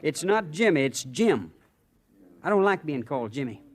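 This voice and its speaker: Young gunslinger, high pitch, very animated, curt, boastful, touchy, vintage radio Western. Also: male voice, normal speed. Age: young adult. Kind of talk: old-time radio Western acting.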